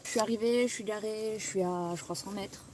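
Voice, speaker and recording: A woman's voice: medium pitch, steady tone, fairly quick, a little mellow, slight background noise, rough recording. A young woman vlogging in casual chatty talk, rambling and swearing a little, sick and tired. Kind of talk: casual vlog chat to camera.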